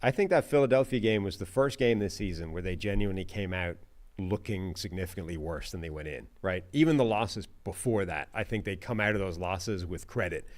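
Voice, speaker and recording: A man co-hosting a sports podcast, giving casual, emphatic football analysis with lots of "like" and "right?". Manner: animated, emphatic, pitch jumping on key points; frequent fillers like "like" and "right?". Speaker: co-host.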